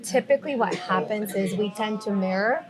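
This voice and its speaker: A woman's voice: medium pitch, moderate pace, a crowd in the background. A woman from Mexico with a Mexican accent, a guest in a casual podcast chat, talking things through in a reflective way and often checking in with "right?". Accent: Mexican Spanish. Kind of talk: casual conversation.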